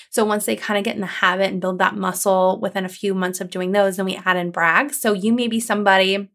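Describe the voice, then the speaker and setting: A woman hosting her own podcast as a coach, talking to listeners in an upbeat, encouraging, conversational way with a calming voice. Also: mid-range voice. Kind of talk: solo podcast episode.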